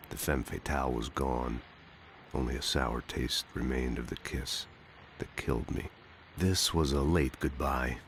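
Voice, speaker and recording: A man's voice: extremely low pitch, slight background noise. An actor playing a world-weary, bitter man narrating his own death as a brooding hardboiled noir monologue in a game. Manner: brooding, fatalistic, slow metaphor-laden delivery.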